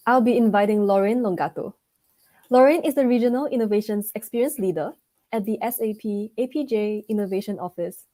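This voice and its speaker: A woman's voice: mid-range voice, mellow. A woman moderating an online event, polite, with frequent ums.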